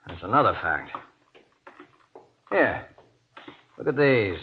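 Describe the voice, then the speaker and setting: An American actor playing an irritable, domineering husband with a gruff, scolding manner in an old-time radio horror drama. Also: male voice, lowish voice, very animated, takes it slowly, exaggerated voice. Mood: gruff, matter-of-fact. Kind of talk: golden age radio horror acting. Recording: dull, muffled old radio recording.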